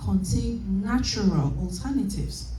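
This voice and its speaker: A woman delivers an animated, emphatic sales training talk with a mellow, relaxed tone. She sounds distant from the mic, her voice ringing through a big echoey convention hall. Faint room noise sits behind her. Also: mid-range voice, unhurried. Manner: animated, emphatic, laughs often. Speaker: business trainer.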